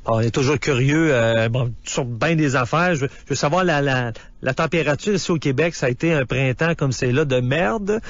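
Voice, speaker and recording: A man's voice: mid-range voice, fairly quick, dry recording. A man with a Quebec French accent hosting a radio show, interviewing a caller in a casual, curious way with many fillers. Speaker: radio host. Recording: dull lo-fi radio broadcast.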